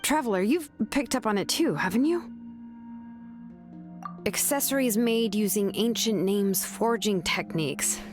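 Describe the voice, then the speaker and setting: An actress playing a sharp, laid-back young woman who calmly reasons through a scheme in a video game. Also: mid-range voice, a little slow, lightly acted. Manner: calm, knowing, casually reasoning. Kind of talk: video game dub acting. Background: game music.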